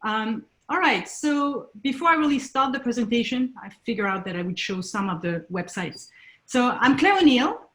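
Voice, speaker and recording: A woman's voice: a little high, lively intonation, moderate pace, a bit bright. A woman from France with a French accent, presenting at an online talk as a nonprofit founder, speaking with many hesitations and restarts over a video call.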